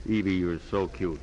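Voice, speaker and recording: A man's voice: low-pitched, a little mellow. An actor playing an easygoing, teasing idle young rich man in a 1940s radio drama. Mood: breezy. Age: young adult. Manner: breezy, teasing. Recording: dull, hissy 1940s radio recording.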